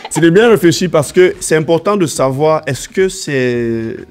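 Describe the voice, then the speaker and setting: A man's voice: a little high, animated, normal speed, a little mellow. A man with a slight head cold, talking conversationally as an interview guest and repeating points for emphasis. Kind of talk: conversational interview answers, giving advice.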